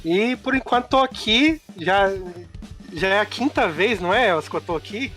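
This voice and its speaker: A man speaks haltingly in a casual podcast chat and restarts his sentences often. His voice is high, with faint music underneath. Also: expressive, bright, forceful tone. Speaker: podcast guest.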